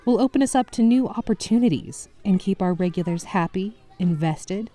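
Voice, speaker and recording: A woman playing an earnest kindergarten owner, delivering a measured press statement in corporate-speak. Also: medium pitch, lively intonation, warm tone, natural voice. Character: earnest female kindergarten owner giving a business pitch.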